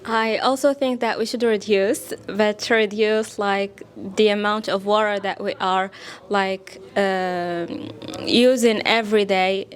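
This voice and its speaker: A woman's voice: mid-range voice, steady tone, takes it slowly. A young woman from Morocco, a student answering interview questions hesitantly. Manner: hesitant, with fillers and small laughs. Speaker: student, hackathon winner.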